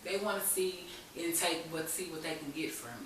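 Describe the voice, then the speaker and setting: A woman's voice: low voice, normal speed. A woman telling her own story as an interview guest, speaking candidly and with resolve in a personal, conversational way. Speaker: talk show guest in recovery. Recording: very echoey room, distant mic, hiss.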